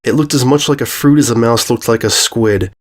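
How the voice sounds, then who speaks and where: A man's voice: mid-range voice, a little fast. A man giving a scripted narration of a horror fan fiction story.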